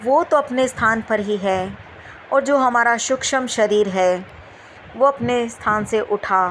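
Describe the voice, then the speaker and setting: Slow-paced woman guiding meditation. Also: a little high, slight background noise, low-quality recording. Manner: gentle instruction with repeated phrases and long pauses. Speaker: guided meditation teacher. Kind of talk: spoken guided meditation.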